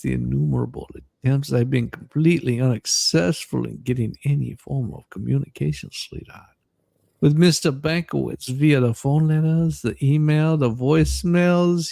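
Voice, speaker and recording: A man doing a comedic dramatic reading as a pleading female prisoner, with drawn-out, suggestive, theatrical delivery. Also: fairly high pitch, creaky, a little mellow, no room sound. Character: breathy, pleading, suggestively moaning female prisoner writing to judge.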